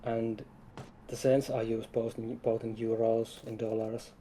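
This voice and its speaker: A man's voice: mid-range voice, little pitch movement, a little slow, warm tone, low-quality recording. A man speaking casually as a guest game developer, explaining things in an informal chat. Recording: some background noise.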